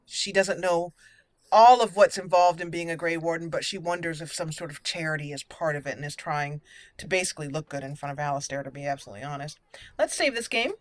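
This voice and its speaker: A woman's voice: deep voice, a little fast, no room sound. A woman hosting a let's play, chatting and thinking aloud as she roleplays through a game. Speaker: gaming channel host.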